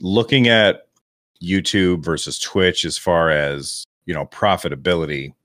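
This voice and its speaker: A man with a deep radio voice, rambling and self-deprecating as a guest on a podcast interview. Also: a little slow, a bit bright, dry recording, clean studio sound. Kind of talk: relaxed interview talk.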